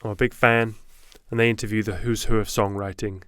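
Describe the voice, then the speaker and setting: A man's voice: lowish voice, level tone, a little fast, fairly bright tone, smooth, no room sound, studio quality. A man hosting an interview podcast, introducing his guests and asking friendly questions. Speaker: podcast host.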